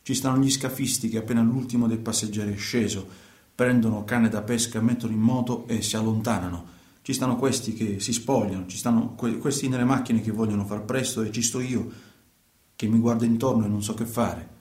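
An actor playing a naive young man who drives migrants and dreams of singing, with a southern Italian accent, in an excitable, repetitive, colloquial radio theatre style. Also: on the low side, a little fast, roomy sound, close to an everyday voice. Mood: subdued, narrating.

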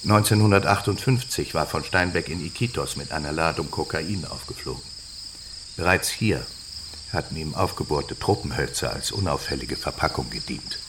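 A deep-voiced, grave padre tells what he knows in a radio drama. His delivery is measured and deliberate, with clear night noise of crickets and insects around him. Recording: clear insect-filled ambient noise behind voice.

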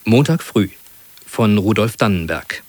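A man reading a scripted introduction to a radio play as announcer, in a measured, formal manner. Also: fairly low pitch, lively intonation, a little mellow, dry recording.

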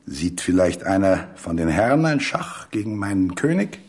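A man playing a stiff, touchy male chess champion in a radio play, cold, sharp and deliberate. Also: deep voice, very animated, exaggerated voice. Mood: coldly mocking.

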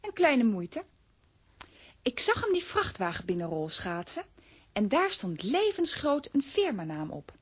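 A woman's voice: fairly high pitch, wildly swooping pitch, a little slow, husky, full character voice. An actress playing a clever, determined young girl in a children's audio drama.

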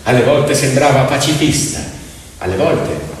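A man's voice: medium pitch, animated, measured pace. A man performing theatrical storytelling, with dramatic, emphatic delivery in short phrases. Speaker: stage storyteller and actor. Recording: very echoey hall, distant mic, faint music. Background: faint piano music.

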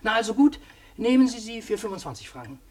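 A man's voice: sits high, sing-song, fast. A man playing a cranky, eccentric old junk dealer in a radio play, fussy and agitated, often repeating himself. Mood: indignant, bitter. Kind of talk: German radio play acting.

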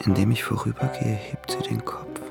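Radio drama: dreamy young romantic, low-key narrator, very gravelly fry, music underneath. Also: male voice, steady tone, normal speed. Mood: enchanted. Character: dreamy young man recalling his journey, quietly infatuated. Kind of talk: literary radio play, present-tense first-person narration.

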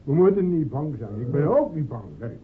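An actor playing a tipsy, boastful village coward in a radio play, stammering, repeating himself and blustering. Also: male voice, on the high side, animated, a little fast, lightly acted. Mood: drunken bluster. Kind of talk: radio drama acting. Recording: tinny old radio recording with noise.

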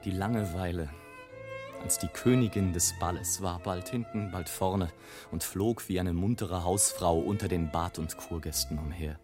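An actor playing a sardonic, world-weary airship traveller, narrating in measured literary style in a radio play. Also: male voice, sits low, over music, close to an everyday voice. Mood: bored, bitter.

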